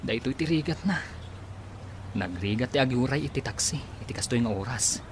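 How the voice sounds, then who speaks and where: A man's voice: medium pitch, animated, a little fast, background noise, lightly acted. An actor playing a weary, polite, hesitant young man in a radio drama, with a Filipino accent. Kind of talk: Ilocano radio drama acting.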